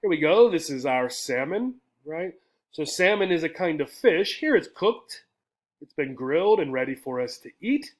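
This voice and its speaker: A man teaching English, explaining step by step in a didactic way with frequent questions and check-in tags. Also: fairly high pitch. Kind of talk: explanatory English lesson with poem reading.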